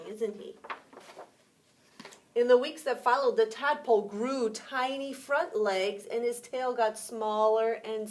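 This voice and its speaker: Woman, preschool teacher, higher-pitched voice, unhurried storytime delivery with simple explanations and questions for little listeners. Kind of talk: read-aloud storytime with asides and questions to young children.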